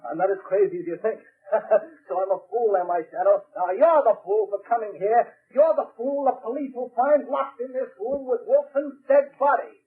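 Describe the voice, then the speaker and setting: An actor playing a cold-blooded, taunting murderer in old-time radio drama. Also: male voice, very high voice, moderate pace. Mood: gloating, taunting. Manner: taunting, sneering. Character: gloating, cold-blooded murderous male villain. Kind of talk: 1940s radio acting. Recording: narrow, muffled vintage radio recording.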